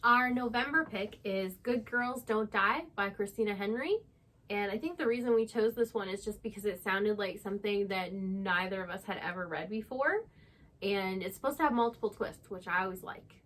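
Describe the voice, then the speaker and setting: Female co-host, medium pitch, conversational and unscripted book talk. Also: normal speed, a bit bright, rough recording. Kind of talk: casual unscripted book chat.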